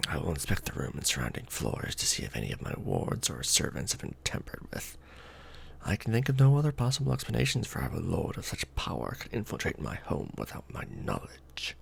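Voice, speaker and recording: Man acting a very deep, gravelly, courtly vampire lord. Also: slight background noise, full character voice. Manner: formal, archaic wording, slow and hushed. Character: courtly, protective, brooding male vampire lord. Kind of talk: ASMR romantic roleplay, soft close speaking.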